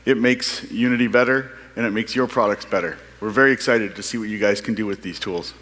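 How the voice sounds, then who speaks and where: A man's voice: lowish voice, a little fast, fairly bright tone. A man giving a product presentation at a conference as a product manager. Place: large indoor conference hall. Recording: echoey hall with long reverb.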